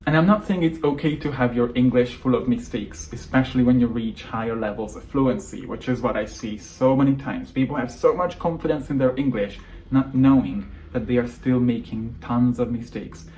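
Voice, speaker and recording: A young man, a native Hungarian speaker, coaching English learners through calm, earnest advice in a scripted monologue. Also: mid-range voice, normal speed, a little hiss or hum, low-quality recording.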